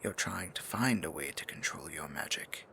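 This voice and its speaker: Man playing a breathy, gravelly dark sorcerer. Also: a bass voice, lively intonation, slight background noise, heavily acted. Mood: earnest, hesitant. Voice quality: breathy, gravelly, often near-whispered. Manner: formal, archaic endearments, slow deliberate phrasing. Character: adult male dark sorcerer, tender, possessive, courtly. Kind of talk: intimate ASMR roleplay acting.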